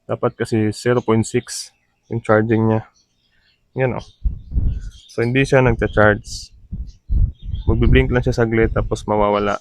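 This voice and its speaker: A man's voice: on the low side, little pitch movement, measured pace, long pauses, low-quality recording. Guy from doing a casual repair vlog, talks thru each step, drops english tech words. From Philippines. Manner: informal, explains step by step, mixing in English terms. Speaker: repair technician demonstrating his work.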